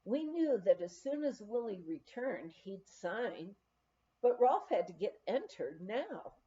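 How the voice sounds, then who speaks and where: Woman, audiobook reader, mellow tone, very animated intonation, emphatic storytelling, slightly dull lo-fi recording. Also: mid-range voice, takes it slowly. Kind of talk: reading a memoir aloud.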